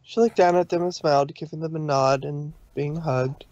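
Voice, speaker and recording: A man's voice: a little high, steady tone, moderate pace, a little mellow, lo-fi sound, a little hiss or hum. A man narrating a fan fiction story from a script.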